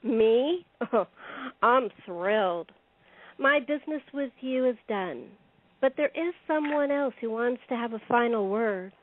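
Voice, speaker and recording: Woman acting high-voiced, scornful female con artist. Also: lively intonation, unhurried. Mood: gloating. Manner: taunting, gloating, theatrical. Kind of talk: audio play acting. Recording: thin phone-like line.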